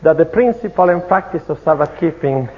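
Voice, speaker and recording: A middle-aged man from Italy with an Italian accent, giving an earnest, measured sermon-style talk at a church conference. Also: a little high, expressive. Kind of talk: sermon-style lecture with testimony. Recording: tinny, phone-like, echoey hall.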